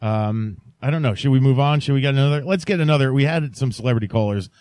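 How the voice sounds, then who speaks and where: A male podcast host with a mid-range voice and a very dry, close recording. He rambles casually and haltingly, with a mock-sincere joking manner. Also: medium pitch, a little mellow, smooth, no room sound.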